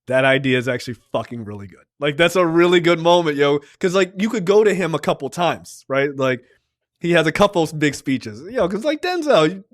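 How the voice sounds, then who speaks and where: A man co-hosting a pop culture podcast in casual, profane chat, getting excited and laughing often. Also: fairly high pitch, no room sound, clean studio sound. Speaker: podcast co-host.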